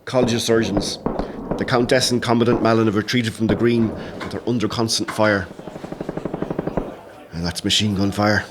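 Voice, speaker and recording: A man's voice: on the low side, fairly even intonation, normal speed, pauses often, lightly acted. An actor playing a wry, bookish rebel major, fatherly with his men, in an audio play with an Irish accent. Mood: grim, calm. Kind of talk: audio drama acting. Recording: busy background crowd noise.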